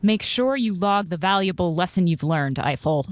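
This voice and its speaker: An actress playing a stern, dryly smug space station commander in an audio drama, authoritative and deadpan. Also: female voice, on the low side, moderate pace, heavy background noise, close to an everyday voice. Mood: stern, triumphant. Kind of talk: modern radio drama acting.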